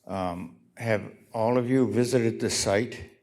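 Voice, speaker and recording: A man giving a halting, pointed public comment at a city meeting, speaking into a podium microphone in a council chamber. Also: on the low side, darkish tone.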